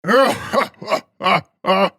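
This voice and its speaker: Creaky, very slow, sinister ghost. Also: male voice, high-pitched, lively intonation, sharp tone, no echo, clean studio sound, full character voice. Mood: gleefully sinister. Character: sly, invisible male ghost with a hatchet. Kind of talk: audiobook character acting.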